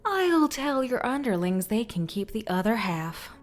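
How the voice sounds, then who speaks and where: An actress playing a composed female ghost-hunter mercenary who speaks quietly and with measured calm in a fantasy audio drama. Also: fairly high pitch. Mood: cold. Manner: quiet, measured, coldly calm, near-whispered.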